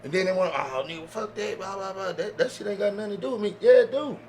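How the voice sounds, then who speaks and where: A man's voice: sits high, fast, a little mellow. A man chatting casually as a podcast panelist, making his points emphatically and repeating words to press them. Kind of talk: casual chat.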